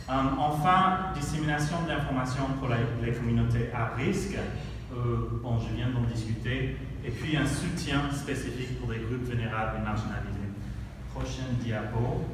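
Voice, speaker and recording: A man whose first language is English gives a conference slide presentation as a country director, halting often with fillers while he searches for words. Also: medium pitch. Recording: echoey hall from far off, noisy.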